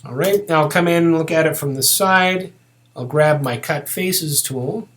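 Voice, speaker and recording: Casual man teaching software step by step. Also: fairly high pitch, measured pace. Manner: informal, thinking aloud while working. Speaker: software tutorial instructor. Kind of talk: casual step-by-step screen-recording tutorial.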